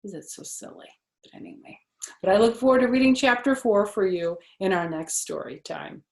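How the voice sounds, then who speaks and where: A mature woman reads a children's story as a grandmother would at storytime, expressive and with casual asides. Her pitch is average. The recording has a clear indoor room echo. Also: a little fast. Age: middle-aged or older. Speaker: grandmother reading stories. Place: indoor living room on a sofa.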